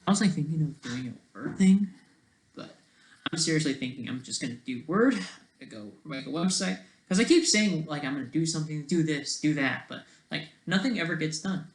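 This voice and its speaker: A man talking casually on a livestream, rambling and halting, often trailing off mid-thought, with a sardonic, self-deprecating streak. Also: a little high, animated, slight background noise.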